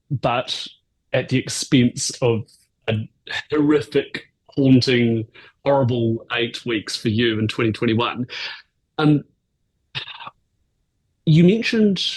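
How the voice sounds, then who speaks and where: Guy hosting a podcast, kinda husky, laid-back questions. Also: mid-range voice, slow pace, long pauses, poor audio. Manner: casual, curious, conversational. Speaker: podcast host. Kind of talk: interview questions.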